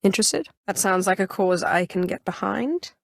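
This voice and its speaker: Imperious, menacing Sith sorceress, played by an actress. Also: female voice, medium pitch, moderate pace, gravelly, dry recording, clean studio sound, close to an everyday voice. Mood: cool calculation. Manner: imperious, cold, clipped, threatening. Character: haughty, menacing female Sith Inquisitor, ambitious former slave. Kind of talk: video game character dialogue.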